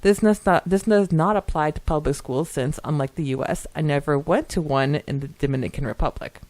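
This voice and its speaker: A woman's voice: sits low, brisk, a little mellow, dry recording. A woman co-hosting a podcast, giggly and chatty as she talks casually and reads listener comments aloud. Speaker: podcast co-host.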